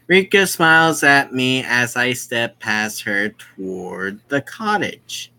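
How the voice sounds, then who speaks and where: A gaming host with a bright, mid-pitched voice reads a visual novel aloud at a very slow, halting pace. The delivery is casual and rises and falls in a lively way, with asides to explain which character is speaking. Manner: halting, explains who is speaking as they read.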